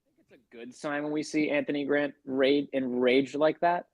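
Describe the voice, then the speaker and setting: A man's voice: a little high, level tone, moderate pace, a little mellow, dry recording, dull audio. A man co-hosting a sports podcast, talking through a game in a casual, colloquial, conversational way.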